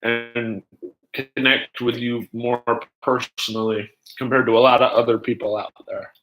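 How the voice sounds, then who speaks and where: A man hosting a livestream in casual chat, rambling haltingly and jumping between asides as he talks to viewers. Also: mid-range voice, level tone, fairly bright tone, rough recording. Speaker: livestream host.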